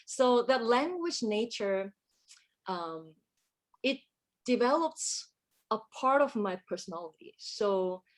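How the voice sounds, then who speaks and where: A woman's voice: fairly high pitch, lively intonation, takes it slowly, long pauses. A woman composer from Korea who speaks English, reflecting thoughtfully in an interview and often searching for her words. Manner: reflective, searching for words, many fillers and restarts. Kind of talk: casual conversational interview.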